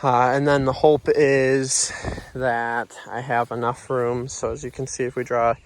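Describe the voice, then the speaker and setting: A man's voice: medium pitch, little pitch movement, a little slow, slight background noise. A man with a nasal, stuffed-up voice, casually walking through his lot and rambling with lots of fillers in a vlog. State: nasal from allergies. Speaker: vlogger. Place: outdoors on a commercial lot and driving in a truck.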